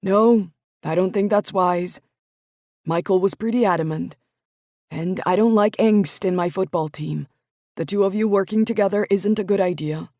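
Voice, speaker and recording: Woman reading stern male high school coach, firm and authoritative, raised and forceful. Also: moderate pace, no echo, studio quality, close to an everyday voice. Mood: firm, pressing. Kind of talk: audiobook character voice.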